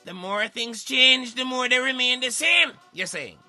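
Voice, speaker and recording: A man's voice: very high-pitched, animated, measured pace, pushed and bright, dry recording, soft background music. A man acting as co-host, putting short prompting questions and interjections to the main speaker.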